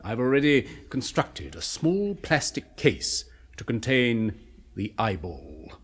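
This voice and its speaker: An actor playing an eager, persuasive scientist obsessed with a macabre experiment, clinically precise and coaxing, in a 1970s radio horror play. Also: male voice, mid-range voice, very animated, slow, husky, heavily acted. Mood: fascinated, explanatory.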